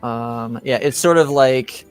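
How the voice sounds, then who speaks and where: A game master speaks in a clear, unhurried voice, casually laying out a tabletop game scene. The delivery is halting, often searching for words, with music behind it. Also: mid-range voice. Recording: background music.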